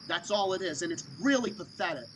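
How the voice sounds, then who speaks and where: Guy host on a live stream, swearing rants, highish voice, noisy audio. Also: expressive, a little fast. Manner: profane, loud ranting. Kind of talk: casual call-in live stream chat.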